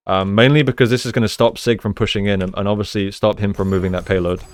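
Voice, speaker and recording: A man giving gameplay analysis commentary as a coach, explaining in a measured way and repeating phrases for emphasis. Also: on the low side, steady tone. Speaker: esports coach, video host.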